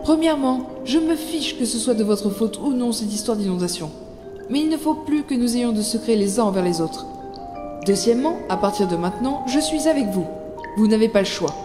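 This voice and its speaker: A woman's voice: a little high, lively intonation, music in the background, lightly acted. Woman acting a firm, resolute mother, reverberant. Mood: determined. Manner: deliberate, firm. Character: resolute, stern, protective mother in clandestine revolt. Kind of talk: French audio drama acting.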